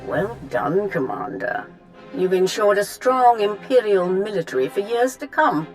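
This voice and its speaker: An actress playing a regal, calculating Empress in a video game, formal and imperious in manner. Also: female voice, medium pitch, sing-song, unhurried, soft background music. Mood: formal approval. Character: regal, authoritative Sith Empress, composed and calculating. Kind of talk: dramatic video game acting.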